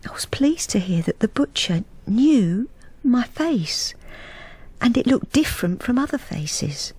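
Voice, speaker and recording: An actress playing a timid, self-effacing, lonely British wife in a radio drama, confiding in a plain, hesitant, apologetic way. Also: female voice, on the high side, sing-song, measured pace, a little mellow, breathy edge, no echo, heavily acted. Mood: wistful confiding. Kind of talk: radio drama with intimate first-person narration.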